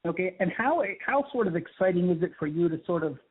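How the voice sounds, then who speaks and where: A male sports reporter works through his questions in a halting, rambling way, restarting his sentences often. His voice is a little higher than average and sounds thin and tinny over the phone. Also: animated, slightly rough. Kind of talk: asking questions on a conference call.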